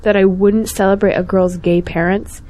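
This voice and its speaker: A woman's voice: mid-range voice, fairly even intonation, normal speed, mellow, close to an everyday voice. A young woman playing a principled, outspoken schoolteacher in an audio drama, earnest and pointed. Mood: worried. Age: young adult.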